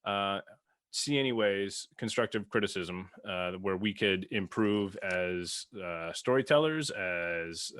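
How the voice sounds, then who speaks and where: A man with a fairly low voice co-hosts a casual podcast. He speaks haltingly, circling back to rephrase his phrases, in a dry, close recording. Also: fairly bright tone, clean tone, no room sound. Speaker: podcast co-host and player.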